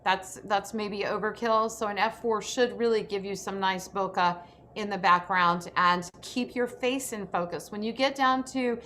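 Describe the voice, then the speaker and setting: A woman's voice: medium pitch, fairly even intonation, normal speed, pushed and bright. A woman hosting a casual, instructional panel chat over a video call. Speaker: host of an industry program for actors. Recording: noisy video call audio.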